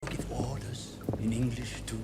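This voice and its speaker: An actor playing a cultured, sadistic German wartime officer, mocking and theatrical, with a German accent, in a game cutscene. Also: male voice, medium pitch, flat delivery, normal speed, heavy background noise, natural voice. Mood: mocking disdain. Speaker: villain officer in a war game. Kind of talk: dramatic game cutscene acting.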